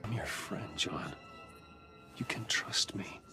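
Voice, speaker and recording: An actor playing a guarded, serious man in a video game, speaking in a firm, measured, low-key way. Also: wildly swooping pitch, in a whisper, exaggerated voice. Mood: coaxing. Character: guarded, serious wealthy man, controlled and probing. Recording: music behind voice.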